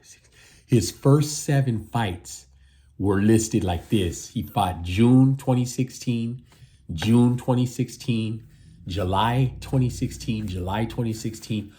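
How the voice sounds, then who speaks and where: A man's voice: fairly low pitch, mellow. A man in his fifties giving rambling casual commentary, repeating himself and ending lines with "man". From United States. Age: 50s. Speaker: boxing channel host.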